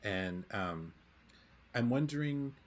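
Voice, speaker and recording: A man's voice: mid-range voice, animated, slow pace. A man moderating a faith discussion, asking questions casually with hesitant fillers.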